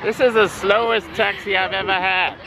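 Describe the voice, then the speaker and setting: A man's voice: sits high, fairly even intonation, moderate pace, vocal fry, very noisy. A man in his thirties filming a family vlog outdoors at arm's length, teasing and joking. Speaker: family vlogger, father. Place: outdoors on a pedal cart by a stadium. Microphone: handheld camera at arm's length. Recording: loud music and crowd behind.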